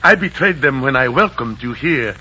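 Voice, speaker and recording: An actor playing a proud, sorrowful captive Aztec emperor, speaking formally in a 1940s radio drama. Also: male voice, mid-range voice, lively intonation, moderate pace, bright, forceful tone. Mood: defiant, bitter. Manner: formal, declamatory. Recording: noisy, dull 1940s radio recording.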